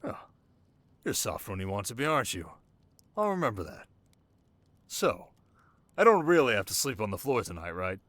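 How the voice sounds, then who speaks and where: An actor playing a sharp, haunted young king in an intimate ASMR romance roleplay, talking wryly and dramatically to his listener. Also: on the low side, sing-song, normal speed, long pauses, no echo. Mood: tender, then playful complaining. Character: young desert king, sharp, wry, haunted, teasingly tender.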